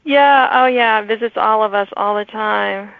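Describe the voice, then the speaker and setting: A middle-aged woman hosting a casual interview show, chatty and given to animated asides. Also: medium pitch, normal speed, vocal fry, no room sound, phone-quality sound. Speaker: podcast host.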